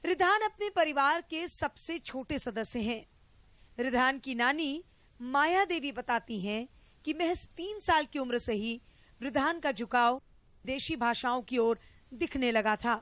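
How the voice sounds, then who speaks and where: A woman news reporter narrating a scripted report in a crisp, formal way. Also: sits high, expressive, unhurried, fairly bright tone, no echo.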